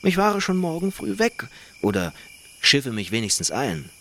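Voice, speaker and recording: A man playing a reserved, principled traveller in a radio play, speaking in a measured, formal and resolute way. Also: medium pitch, huge pitch swings, pushed and bright, slight background noise, exaggerated voice. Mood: firm, composed. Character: reserved, principled male foreign traveller, calmly firm. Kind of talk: German radio play acting.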